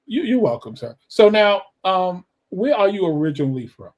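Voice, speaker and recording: A man's voice: high voice, big pitch swings, moderate pace, darkish tone. A man from Brooklyn, New York, hosting a radio interview in a friendly, hyped, casual way, often starting with "so now.". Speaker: radio show host.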